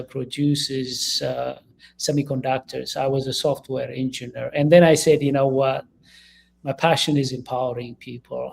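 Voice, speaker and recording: A man's voice: medium pitch, vocal fry, darkish tone. A middle-aged man from Ethiopia, a leadership coach speaking as an interview guest, earnest and emphatic.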